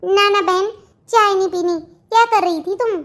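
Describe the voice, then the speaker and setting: A performer plays a gossipy young sister-in-law in a family cartoon. The pitch is extremely high, and the manner is chatty, probing and smoothly placating. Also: steady tone, moderate pace, a bit bright. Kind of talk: Hindi family comedy cartoon dialogue.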